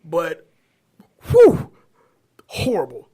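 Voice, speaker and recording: American guy on a podcast, kinda high voice, slangy animated movie chat. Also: drawn-out words. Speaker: podcast panelist. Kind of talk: casual chat about movies.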